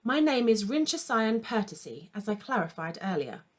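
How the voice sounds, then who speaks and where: Lady doing a bossy little elephant shrew guy from a kids book, impatient and officious, slightly husky, swoopy pitch. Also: sing-song, moderate pace. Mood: haughty. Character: uppity little male elephant shrew in uniform.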